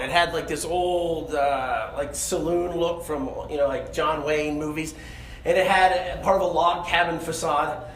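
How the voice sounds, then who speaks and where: A man tells a funny story live on stage, conversational and animated, poking fun at himself. His voice rings in an echoey room, with some background noise around it. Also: high voice. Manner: animated, conversational, self-deprecating. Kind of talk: comedic stage storytelling to an audience.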